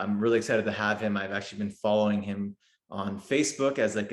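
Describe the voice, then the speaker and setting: Low-voiced podcast host in an echoey room. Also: male voice. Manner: friendly, eager, filler-heavy. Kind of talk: casual interview.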